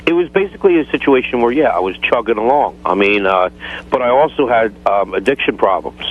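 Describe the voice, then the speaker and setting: A man's voice: mid-range voice, expressive, brisk. A man calling in to a radio show over a phone line, explaining himself in a rambling, evasive way. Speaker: phone-in guest. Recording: thin, band-limited phone line.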